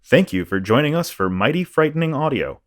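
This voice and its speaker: A man's voice: on the high side, sing-song, brisk. A man hosting a spooky audio show, reading a scripted sign-off to listeners. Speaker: host.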